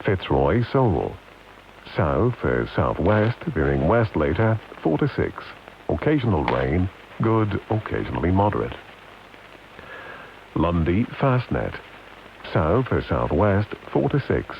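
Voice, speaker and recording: A British man formally reading a scripted weather bulletin as a radio announcer, in measured, deliberate, list-like phrases, over long-wave radio. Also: sits low, vocal fry. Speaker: radio continuity announcer reading shipping forecast. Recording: hissy, muffled long-wave radio broadcast.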